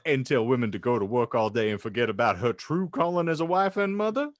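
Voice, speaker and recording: A man hosting a comedy podcast, reading fan fiction aloud in a mock-dramatic, teasing, deliberate way. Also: medium pitch, lively intonation, dry recording, clean studio sound. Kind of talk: mock-dramatic audiobook narration with joking banter.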